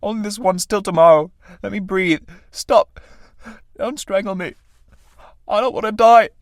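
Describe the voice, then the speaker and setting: A man playing a battered old male tramp who talks in rough, colloquial speech. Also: fairly high pitch, moderate pace, no echo, clean studio sound, lightly acted. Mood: desperate. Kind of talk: radio play acting.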